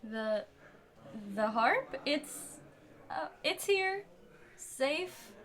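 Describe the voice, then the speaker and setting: Woman acting flustered timid worker girl, high bright voice, halting nervous talk, audio drama. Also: very animated, full character voice.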